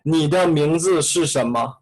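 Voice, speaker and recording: A man's voice: on the high side, a little slow, a little mellow, husky, rough recording. A man with a Chinese accent, a native Chinese speaker teaching an online class and coaxing students with repetitive prompts in broken English.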